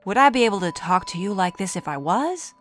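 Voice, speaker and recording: A woman's voice: medium pitch, lively intonation, fairly quick, fairly bright tone, close to an everyday voice. A woman performs a frightened but strong-willed young country woman in a horror tale. Her voice lifts above a narrator's register, urgent and folksy, pleading or giving orders. Music plays behind her. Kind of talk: dramatised horror storytelling.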